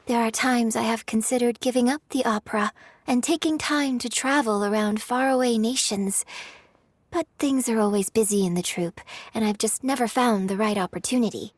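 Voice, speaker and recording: A woman's voice: on the high side, no room sound, natural voice. A woman playing a refined, courteous young opera performer in a video game, speaking with polite, formal, elegant phrasing. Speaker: game character voice. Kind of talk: scripted video game dialogue.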